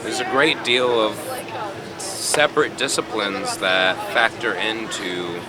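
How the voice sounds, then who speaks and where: A man from Providence, speaking slowly and deliberately in a wordy impromptu speech outdoors. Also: fairly low pitch, steady tone, over a noisy crowd. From Providence, Rhode Island, USA. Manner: slow, deliberate, wordy, rising to emphasis. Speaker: activist speaking to camera. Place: outdoors on a city street. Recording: loud street noise outdoors.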